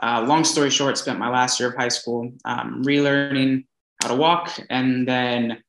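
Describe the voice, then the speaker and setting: A young man in his twenties, speaking as an interview guest in a relaxed, earnest way, with many fillers and restarts. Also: medium pitch, measured pace, slight background noise. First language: English. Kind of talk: casual storytelling interview. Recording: echoey room.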